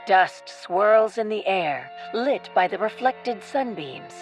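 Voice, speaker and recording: A woman's voice: medium pitch, expressive, slow pace. A woman narrating a scripted radio adventure play in a dramatic, descriptive storytelling manner. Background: music.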